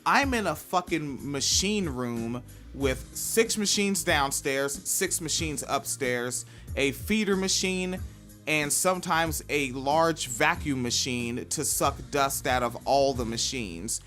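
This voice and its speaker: A young man hosting a podcast, chatting casually with a profane, squealy, animated way of talking. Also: mid-range voice, slow pace, soft background music. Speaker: podcast host. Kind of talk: casual chat.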